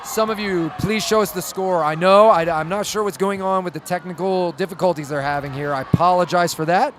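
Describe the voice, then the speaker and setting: A man doing excitable live sports commentary, chatting with viewers, his voice slightly hoarse. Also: high voice, fairly quick, slight background noise. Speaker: play-by-play commentator.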